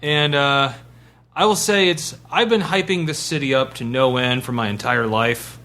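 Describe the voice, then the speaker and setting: A man co-hosting a podcast, telling a story casually in a halting way, circling back over his words. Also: mid-range voice, sharp tone. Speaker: podcast co-host.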